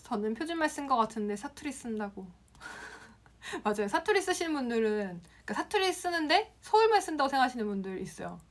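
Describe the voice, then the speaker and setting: A woman's voice: high voice, big pitch swings, a little fast. A young woman from the Gyeongsang region teaching Instagram, answering viewer comments on a casual live stream and laughing often. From Gyeongsang province, Korea.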